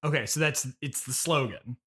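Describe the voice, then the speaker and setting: A man playing a bewildered podcast interviewer, asking halting, skeptical questions in a casual mock interview. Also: fairly high pitch, a bit bright, natural voice. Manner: hesitant, halting, politely skeptical. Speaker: podcast host interviewing guests.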